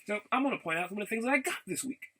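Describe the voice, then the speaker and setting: A man chatting casually in a rambling vlog, haltingly, often repeating and restarting his phrases. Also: high-pitched, animated, bright, forceful tone, a little hiss or hum. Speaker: vlogger.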